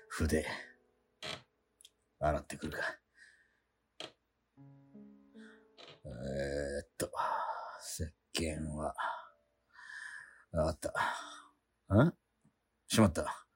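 A broke, self-mocking painter narrates his cold little life in a deep, low voice, muttering dry asides to himself. His tone is quietly wry, with faint music under the narration. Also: extremely slow, long pauses, full character voice. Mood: weary. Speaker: lead actor and narrator. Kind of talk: radio drama inner monologue.

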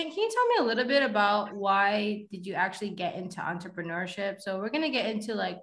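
A woman's voice: medium pitch, a bit bright, echoey room. A woman hosting a casual interview, upbeat and chatty. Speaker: host.

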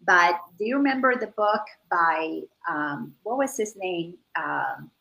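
A woman's voice: on the low side, lively intonation, takes it slowly, vocal fry, bright, forceful tone, low-quality recording. A woman who grew up in the Dominican Republic, speaking earnestly over a video call and repeating words as she searches for them. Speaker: guest. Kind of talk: casual spiritual chat.

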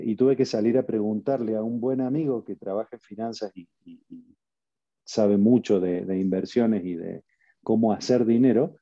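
A middle-aged man from Argentina with an Argentine Spanish accent, a podcast guest speaking in a wry, self-deprecating way. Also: fairly low pitch. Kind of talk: casual interview chat. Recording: dry, muffled audio.

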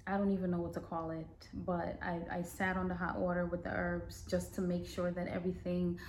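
A woman from Guyana hosting a podcast, talking casually and with emphatic, animated storytelling. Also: fairly low pitch, brisk, slight background noise. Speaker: podcast host.

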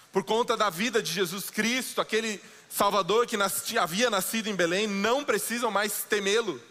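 A man preaching a sermon as pastor, slowing down and dropping low for dramatic quoted lines. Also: sharp tone, in a large hall. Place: church sanctuary.

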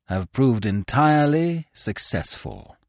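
A man reading aloud voices an injured detective, hoarse and weak, speaking with precise dry understatement. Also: mid-range voice, very animated, slow, no echo. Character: injured, weakened man detective, clipped and determined.

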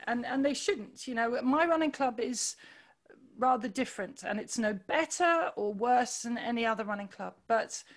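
A woman with a loud voice, talking earnestly in an interview, pausing often with fillers and restarts. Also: on the high side. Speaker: podcast guest, running club founder. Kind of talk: reflective conversational interview.

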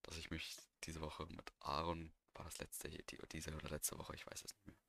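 A man's voice: a bass voice, completely flat delivery, normal speed, gravelly, pushed and bright. A young man co-hosting a casual podcast, chiming in with laid-back, slangy remarks. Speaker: podcast co-host. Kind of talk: casual chat.

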